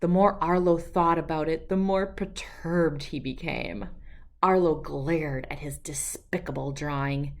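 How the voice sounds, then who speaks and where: A woman reading a children's storybook aloud with expressive, deliberate, emphatic phrasing. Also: low voice. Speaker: children's book read-aloud host.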